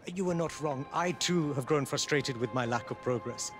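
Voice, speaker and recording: A man's voice: medium pitch, moderate pace, over music, close to an everyday voice. A male actor playing a genteel, dignified exiled prince, formal and courteous, in a video game cutscene. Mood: measured.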